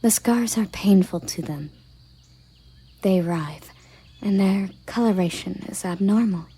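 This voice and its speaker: A woman voicing a dutiful, formal elven guard captain in a breathy, measured ASMR roleplay whisper. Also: mid-range voice, mellow. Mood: deferential, concerned. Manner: formal, measured, matter-of-fact.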